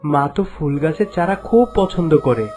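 A man playing a kind, earnest young prince in a fairytale cartoon, with an expressive delivery. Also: fairly high pitch, normal speed, over music, lightly acted. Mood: concerned. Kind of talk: Bengali fairytale cartoon acting.

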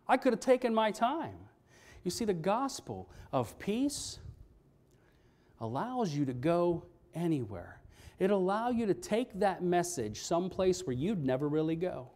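A man's voice: high-pitched, a little mellow. A middle-aged man preaching as pastor in a church, speaking in a conversational way and asking the congregation questions. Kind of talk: sermon. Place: church sanctuary, standing at a pulpit. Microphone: pulpit microphone, filmed by a fixed camera.

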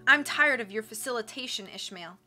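A woman's voice: a little high, animated, fairly quick, pushed and bright, soft background music, full character voice. A woman narrator voicing a defiant, adventure-hungry islander who challenges the leader.

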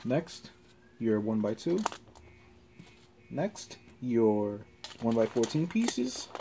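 A man giving a casual hands-on tutorial in halting, short step-by-step instructions. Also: mid-range voice, sing-song, vocal fry, background noise. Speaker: tutorial presenter. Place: carpeted floor in a room at home.

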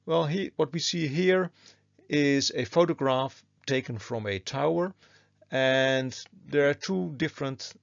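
A man with a mid-pitched, creaky voice delivers an explanatory university lecture. He works through the material methodically, one step after another. The sound is dry and somewhat muffled, as in a recorded slide presentation. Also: no room sound.

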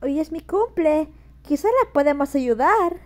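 A gentle, timid sheep from a children's cartoon, acted by a woman, speaks in a high voice with a Latin American Spanish accent. He is soft-spoken and hesitant, with music playing behind him. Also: expressive, unhurried. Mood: unsure, cautious.

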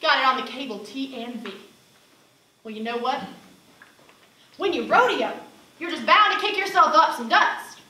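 A woman's voice: sits high, animated, moderate pace, long pauses, sharp tone. A young woman on stage playing a brash, fed-up rodeo cowgirl with a Southern US accent and a salty, folksy, emphatic manner. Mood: sardonic. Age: young adult. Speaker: student actress performing a monologue. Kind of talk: theatrical stage monologue. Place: indoor theater stage. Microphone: far camera. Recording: distant, echoey theater recording with noise.

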